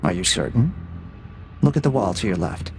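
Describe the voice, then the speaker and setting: A man playing a cold, calculating AI interrogator in an audio drama, speaking in a measured, probing, condescending way with a gravelly edge. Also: on the low side, sing-song, music in the background. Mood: cool probing. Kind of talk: scripted audio drama dialogue.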